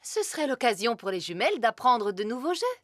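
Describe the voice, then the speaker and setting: A woman's voice: fairly high pitch, big pitch swings, a little fast, very noisy. Lilting, motherly cartoon fairy queen. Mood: encouraging. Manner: polite, motherly. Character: gentle fairy queen, patient doting mother. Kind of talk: children's cartoon dub.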